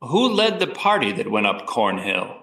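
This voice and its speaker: A man's voice: medium pitch, big pitch swings, moderate pace, bright, forceful tone. A man playing a formal, probing 18th-century lawyer examining witnesses in a scripted trial re-enactment. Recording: roomy echo, distant from mic.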